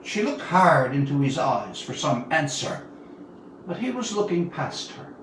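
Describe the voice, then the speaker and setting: A man of about seventy from Newfoundland, Canada, reading his own tale aloud as a storyteller, with deliberate, dramatic pauses. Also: fairly high pitch, very animated, fairly bright tone. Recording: roomy, distant mic, faint background noise.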